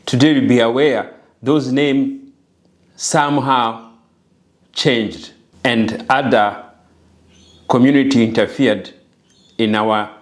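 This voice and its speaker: A middle-aged man with a Rwandan accent delivers a spoken message like a preacher, deliberate and earnest, repeating phrases for emphasis. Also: medium pitch. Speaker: speaker and preacher of African spirituality.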